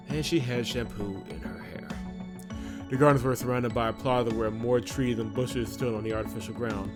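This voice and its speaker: A man hosting a visual novel playthrough, reading the narration aloud and reacting casually. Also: medium pitch. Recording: loud game music behind voice. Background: game music.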